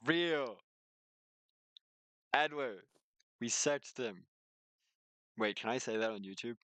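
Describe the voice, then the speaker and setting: A man's voice: mid-range voice, expressive, slow, fairly bright tone, no echo. A man hosting a game stream with casual, playful chat, often breaking off and restarting his sentences. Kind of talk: casual gaming livestream chat, reading game text aloud.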